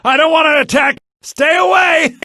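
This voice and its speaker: A man's voice: very high voice, moderate pace, bright, forceful tone, dry recording, raised voice, clean studio sound, full character voice. A man playing a frightened, desperate video game character who pleads in panic as if forced against his will. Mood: panicked, pleading.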